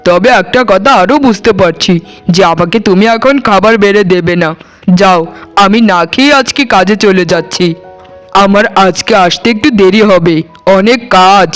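A man's voice: sits high, normal speed, fairly bright tone, smooth. A man voicing a poor, put-upon labourer husband in a cartoon, plaintive and reproachful. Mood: weary, reproachful. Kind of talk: Bengali cartoon voice acting. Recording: music behind the voice. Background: music.

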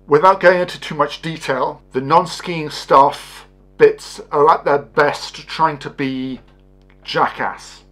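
A man in his forties giving a casual spoken review to camera, halting and often tagging statements with "okay?". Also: a little high, a bit bright. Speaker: host, film reviewer. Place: small indoor set with curtain backdrop.

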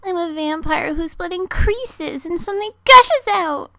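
A woman playing a flustered, easily embarrassed teenage vampire schoolgirl in an amateur anime dub audition, excitable and dramatic. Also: very high voice, measured pace, no echo, full character voice.

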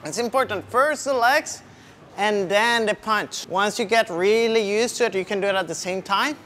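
The high-voiced young man you'd hear coaching striking drills on a gym floor, with some room noise around him. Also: normal speed, pushed and bright. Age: young adult. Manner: demonstrating punches while talking. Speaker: kickboxing coach. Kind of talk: instructional demonstration with short cues. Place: large indoor MMA gym. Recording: clearly audible background ambience noise.

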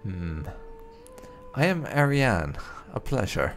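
A man doing roleplay playthrough commentary in a theatrical, drawn-out way. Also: fairly low pitch, big pitch swings, faint music behind. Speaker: gaming video host. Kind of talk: roleplay playthrough commentary, reading out character replies.

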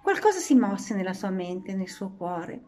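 A woman reading a children's story aloud in an animated, expressive way. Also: mid-range voice, measured pace, soft background music. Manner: animated, dramatizing the characters' lines.

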